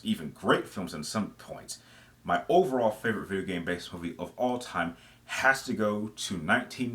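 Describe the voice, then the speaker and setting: A man's voice: fairly low pitch, fairly bright tone. A man in his thirties giving opinionated, conversational countdown commentary as a reviewer, with an enthusiastic, emphatic way of talking. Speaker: video game movie reviewer, host.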